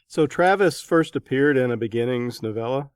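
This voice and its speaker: A man's voice: medium pitch, expressive, normal speed, clear, resonant tone, no echo, clean studio sound. A man acting as interviewer in a casual round-table discussion, putting questions to guests.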